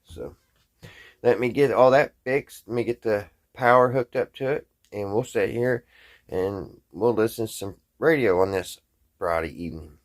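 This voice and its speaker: Low-voiced man, folksy and halting. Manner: folksy, halting, thinking aloud. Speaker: hobbyist presenter. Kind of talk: casual hands-on demonstration to camera.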